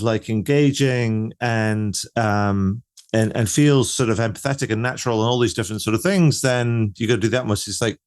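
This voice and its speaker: A man's voice: lowish voice, clean tone. A man hosting an interview, talking in a loose, conversational way with many fillers such as "you know" and "like". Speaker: interview host.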